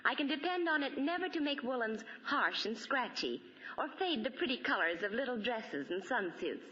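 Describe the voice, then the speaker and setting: A woman's voice: fairly high pitch, animated, moderate pace, sharp tone. A woman playing an earnest, careful young mother giving a testimonial in a 1940s radio soap advertisement, on a dull old recording.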